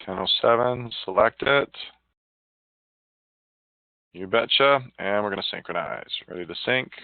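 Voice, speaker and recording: Male software demo presenter, lowish pitch, casual and muttering as he works, dry telephone-grade audio. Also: unhurried, no echo. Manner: informal, chatty, muttering to himself while working. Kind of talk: casual live software demonstration. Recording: narrow, phone-like line, dry.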